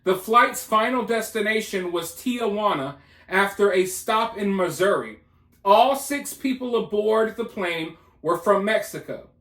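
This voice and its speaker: High-voiced man commentating in an echoey room. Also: a little slow, a bit bright. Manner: emphatic, colloquial, repeats phrases for effect. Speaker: commentator hosting a news video. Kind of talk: casual opinionated news commentary.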